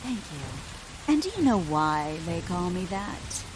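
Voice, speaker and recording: A woman's voice: on the low side, big pitch swings, slow pace. A woman voicing a cocky, sadistic villain in a video game, mockingly playful and condescending. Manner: taunting, condescending. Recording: loud rainy ambient noise.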